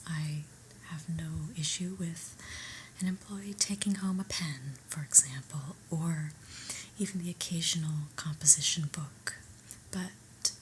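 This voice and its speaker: Woman playing a slow whispering, unimpressed office boss. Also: fairly low pitch, slight background noise, rough recording. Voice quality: soft, breathy whisper. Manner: measured, deliberate, corporate jargon, dryly disapproving. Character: unimpressed new female office manager, stern but politely corporate. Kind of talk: whispered ASMR roleplay.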